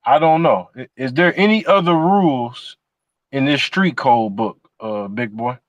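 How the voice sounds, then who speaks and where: A man's voice: medium pitch, a little slow, dry recording, lo-fi sound. Rambling forty-something man, dry sound. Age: 40s. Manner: rambling questions with many fillers and restarts. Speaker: podcast host. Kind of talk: casual chat.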